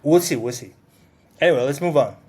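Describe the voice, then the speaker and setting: A man's voice: fairly high pitch, fairly quick, darkish tone, slightly rough. A man in his forties co-hosting a casual podcast chat, talking emphatically with lots of "like" and some swearing. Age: 40s. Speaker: podcast co-host.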